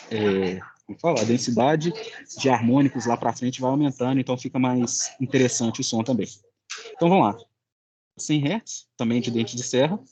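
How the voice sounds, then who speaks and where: A young Brazilian man giving an informal explanatory presentation as a student, often halting to search for words. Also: medium pitch, warm tone, a little hiss or hum. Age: young adult. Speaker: student presenting final project.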